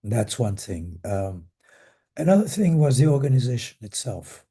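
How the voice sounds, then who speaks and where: An older man, hoarse with a cold and losing his voice, recalls history in a measured, halting panel talk as a retired academic. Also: medium pitch, big pitch swings, mellow. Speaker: panelist, retired professor and former association president.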